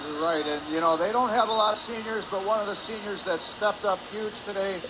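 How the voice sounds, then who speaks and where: A man's voice: sits high, brisk. A man giving conversational, explanatory color commentary on live hockey from an ice rink. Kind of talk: live sports analysis. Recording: clear background noise, arena ambience.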